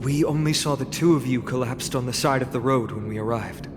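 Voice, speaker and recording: An actor playing a noble, grave young warrior leader in a video game, speaking formally and with command. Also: male voice, medium pitch, fairly quick, close to an everyday voice. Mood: resolute. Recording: long reverb, music behind.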